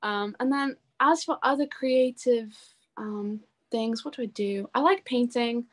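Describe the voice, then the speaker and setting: A woman's voice: fairly high pitch, unhurried. A young woman talking casually and enthusiastically as an interview guest, in a chatty, informal way. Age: young adult. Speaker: interview guest, singer-songwriter. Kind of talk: casual chat.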